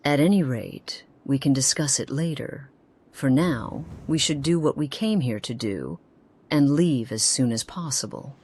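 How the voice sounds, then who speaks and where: A woman's voice: extremely low pitch, animated, a little slow, darkish tone, breathy edge, heavily acted. An actress playing a grave, haunted woman companion in a fantasy game, speaking formally and earnestly. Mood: concerned, searching. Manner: formal archaic phrasing, earnest. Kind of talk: dramatic fantasy game voice acting.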